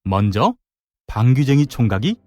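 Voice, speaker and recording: Children's folktale narrator, very lively intonation, expressive sound words, music behind. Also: medium pitch, moderate pace. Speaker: storyteller narrator.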